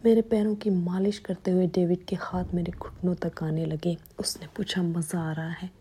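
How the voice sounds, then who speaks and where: A young woman narrating a story in a steady hushed whisper. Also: lowish voice, moderate pace, slightly rough.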